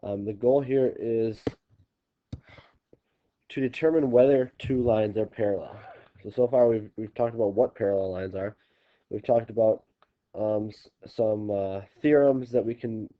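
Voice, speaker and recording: Congested man teaching geometry. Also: fairly low pitch. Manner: halting, restarting explanations with many ums. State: congested, stuffy nose. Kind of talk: recorded classroom video lesson. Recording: slightly dull lo-fi recording.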